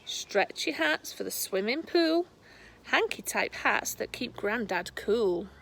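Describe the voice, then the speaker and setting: Slow-reading woman with a higher voice. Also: lively intonation, gravelly, fairly bright tone, a little hiss or hum. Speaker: storybook reader. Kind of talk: scripted children's rhyme read aloud.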